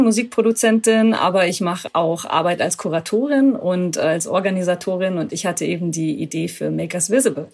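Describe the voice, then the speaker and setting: Eine Frau aus München, Musikerin, die als Gast nachdenklich im Podcast erzählt. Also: female voice, medium pitch, darkish tone. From Munich, Germany. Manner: thoughtful, searching for words. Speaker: guest, musician and curator. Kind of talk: casual podcast interview.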